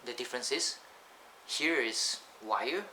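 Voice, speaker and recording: A Malaysian man at a middling pitch talks loosely to his camera, wandering off topic and stopping to fix his own words. The camcorder's built-in mic thins out his voice and strips away its bass. A clear hum of background noise runs under the whole recording. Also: slow, sharp tone. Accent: Malaysian English. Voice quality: thin sound, lacking bass. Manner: rambling, digressive, self-correcting. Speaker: vlogger. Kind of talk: casual rambling vlog talk.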